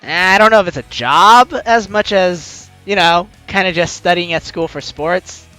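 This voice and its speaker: A man playing a visual novel, reading the text aloud with excitable, joking reactions and the odd stumble, over music. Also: high-pitched, a little slow, low-quality recording. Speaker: let's player. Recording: music behind the voice.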